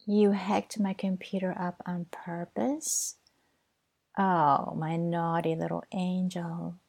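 A woman with a low, gravelly, breathy voice who talks slowly and softly, dropping often into a whisper. Her tone is tender and doting throughout, in the manner of an intimate audio roleplay. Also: flat delivery. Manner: soft, soothing, often whispered.

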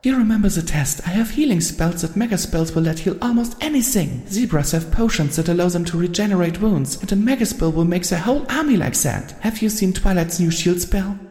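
A timid young pegasus mare with a soft, quavering, very high voice, as a man puts her on. She speaks meekly and her voice shakes. The sound carries a roomy, long-tailed echo. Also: lively intonation, brisk, hardly any pauses. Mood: tearful, resolute. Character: meek tearful young female pegasus pony.

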